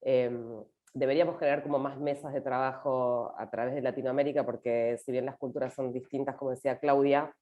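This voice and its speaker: A woman's voice: a bass voice, darkish tone. A woman speaking as a panelist, with hesitant phrasing and many fillers. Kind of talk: panel discussion. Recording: dull lo-fi audio.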